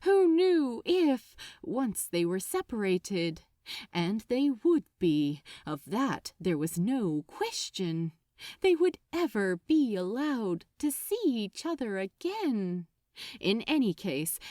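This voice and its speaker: A woman narrating fiction like an audiobook, with an expressive, deliberate and suspenseful delivery. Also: mid-range voice, no room sound, clean studio sound. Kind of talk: dramatic scripted audiobook narration.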